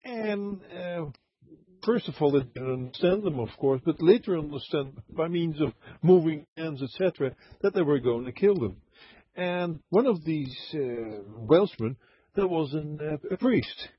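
Man calling in, high husky voice, dull lo-fi chat audio, rambling and halting. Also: animated, no room sound. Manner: halting, laughs often, many fillers. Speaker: caller. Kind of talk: rambling call-in storytelling. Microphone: online voice chat.

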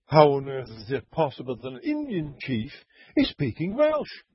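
Man calling in, high husky voice, dull lo-fi chat audio, rambling and halting. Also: huge pitch swings. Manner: halting, laughs often, many fillers. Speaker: caller. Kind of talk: rambling call-in storytelling. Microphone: online voice chat.

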